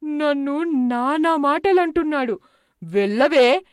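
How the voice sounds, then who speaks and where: A woman voicing a bullying, loud middle-aged village mother-in-law in a cartoon moral story, scolding and haranguing. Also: sits high, animated, slow pace, no room sound, studio quality. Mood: angry scolding.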